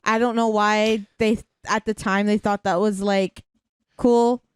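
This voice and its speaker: A woman's voice: mid-range voice, flat delivery, measured pace, clear, resonant tone. A young woman co-hosting a podcast, chatting casually in an informal, exclamatory, agreeable way. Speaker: podcast co-host. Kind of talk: casual review chat.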